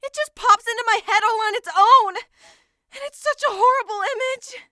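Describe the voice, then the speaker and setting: Woman voicing a very high-pitched frightened schoolgirl. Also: normal speed, sharp tone, no room sound, studio quality. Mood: distraught, pleading. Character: frightened high school girl, tearful and overwhelmed. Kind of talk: anime game fan dub acting.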